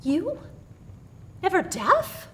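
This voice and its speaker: A woman's voice: extremely high pitch, huge pitch swings, drawn-out words, bright, forceful tone, voice pushed up, heavily acted. A woman reading aloud, voicing a young woman named Mary, startled and bewildered. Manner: startled, wondering.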